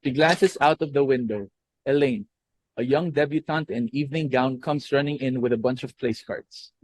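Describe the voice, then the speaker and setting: A young man narrating the stage directions of a play in an even, descriptive reading. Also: medium pitch, moderate pace, dry recording, low-quality recording. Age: young adult. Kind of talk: scripted narration.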